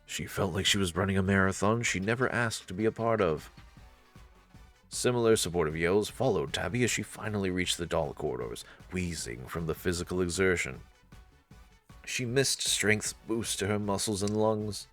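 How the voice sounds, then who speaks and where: Very deep-voiced male storyteller. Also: normal speed, fairly bright tone. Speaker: narrator and let's-play reader. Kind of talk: storytelling narration of a visual novel. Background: faint music.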